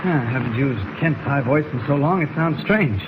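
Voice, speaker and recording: A man's voice: mid-range voice, lively intonation, moderate pace, close to an everyday voice. A man playing a mild, eager American newspaper reporter, polite and earnest, in 1940s radio acting style. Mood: earnest, friendly. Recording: muffled, very noisy 1940s radio recording.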